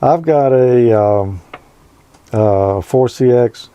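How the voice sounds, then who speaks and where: A man's voice: medium pitch, very slow, warm tone, clear, resonant tone, no room sound. A man with an American accent, casually chatting as the host of a show. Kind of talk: casual hosting and chat. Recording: noisy background ambience.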